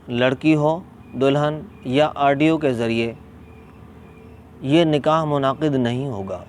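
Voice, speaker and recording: Slow-paced Pakistani man teaching over background noise. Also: darkish tone. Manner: deliberate, emphatic, repeats key points. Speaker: Islamic scholar answering religious questions. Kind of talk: religious ruling explained as a spoken lesson. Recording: clear background noise, ambient vehicle rumble.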